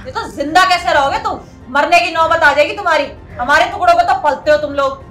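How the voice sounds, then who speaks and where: A woman's voice: very high-pitched, fairly quick, a bit bright. A young woman playing a haughty, cruel customer in a melodramatic skit, scolding with contempt. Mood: scornful. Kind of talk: melodramatic scripted Hindi skit acting. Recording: roomy echo, faint music behind.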